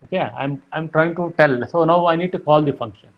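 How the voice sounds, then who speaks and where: A man teaching programming, explaining slowly with many fillers and repeated "okay". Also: fairly high pitch, dull audio. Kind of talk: lecture explaining code.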